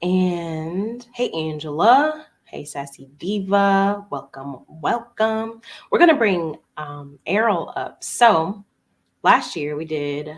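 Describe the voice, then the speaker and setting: A woman hosts a live podcast in a slightly low voice. Her delivery is casual and playful, full of slang. She keeps things informal as she talks with her guest. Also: expressive, slow. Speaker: host.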